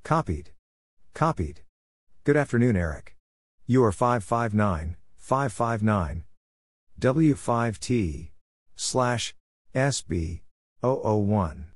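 Man, lowish pitch, slow scripted readout, deliberate spelling of letters and numbers, dry sound. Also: animated, dry recording. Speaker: Morse code practice narrator. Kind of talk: scripted readout of radio exchanges.

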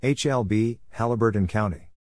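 A man with a somewhat low voice reads from a script for a practice drill. He delivers short, separate items, either spelling out letters one by one or announcing place names as an instructor would. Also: moderate pace, a little mellow. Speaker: Morse code practice instructor.

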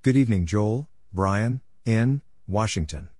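Man reading clipped, deliberate scripted callouts. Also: lowish voice, animated, darkish tone, no echo, clean studio sound. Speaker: narrator of Morse code practice exchanges. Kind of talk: scripted readout of letters and short radio exchanges.

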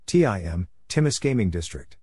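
A man reading short scripted items in a plain, deliberate announcing manner, spelling out letters and naming places. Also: low voice, very animated, noisy background. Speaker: Morse code practice narrator.

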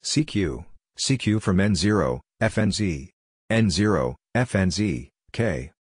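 A man reading short scripted practice phrases as a drill narrator, deliberately spelling out letters and call signs one by one. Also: low voice, animated, slightly rough, dry recording, clean studio sound.

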